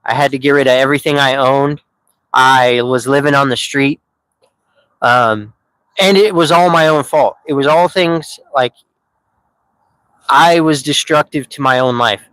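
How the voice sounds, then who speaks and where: A man's voice: medium pitch, measured pace, long pauses, a bit bright, no echo, poor audio. A man in his thirties telling his own story on a live stream, candid and rambling, with many fillers and restarts. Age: 30s.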